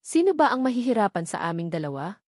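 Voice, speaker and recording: A woman's voice: mid-range voice, very animated, fast, a little mellow, no echo, studio quality. A woman with a Filipino accent reading a first-person romance novel as a scripted audiobook narration.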